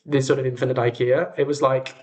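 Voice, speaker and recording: A man's voice: mid-range voice, level tone, fast, a little mellow. A young British man hosting a livestream, chatting casually to viewers in an enthusiastic, whimsical way. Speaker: livestream host.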